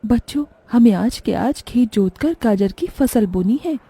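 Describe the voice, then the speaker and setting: A woman's voice: on the high side, lively intonation, measured pace, dark tone, slightly rough, exaggerated voice. A woman voicing a poor, hardworking mother in a cartoon story, loving and earnest, with an emotional delivery. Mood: tender.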